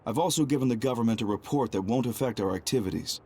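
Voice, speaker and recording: A man playing a composed, calculating young double agent in a video game, speaking in a measured, formal, grave way. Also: mid-range voice, darkish tone, slightly rough. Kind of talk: anime-style video game cutscene acting.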